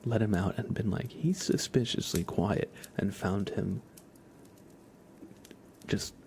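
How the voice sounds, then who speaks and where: A man chatting softly as an ASMR livestream host, in a gentle hushed voice that often drops into whispers. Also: on the low side, slow pace, gravelly, slight background noise. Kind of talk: soft-spoken ASMR livestream chat.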